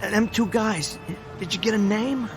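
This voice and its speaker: A streetwise younger brother in a radio drama, a small-time gambler full of worry and self-pity. His voice sits high for a man and crackles with fry. He talks in agitated slang over clearly audible music. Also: moderate pace. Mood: alarmed, concerned.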